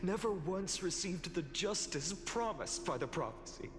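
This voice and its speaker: Slow-spoken, determined heroic lord. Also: male voice, high-pitched, lively intonation. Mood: sorrowful, reflective. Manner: earnest, dramatic, measured. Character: weary, determined young heroic lord, earnest and defiant. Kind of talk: scripted fantasy game voice acting. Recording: long hall reverb over music.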